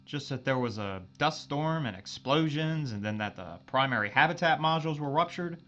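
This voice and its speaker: A man's voice: a little high, expressive, moderate pace, fairly bright tone, lo-fi sound. A man acting a blunt, exasperated spaceship crewman in a science fiction audio drama, informal and sarcastic. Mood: sarcastic, accusing.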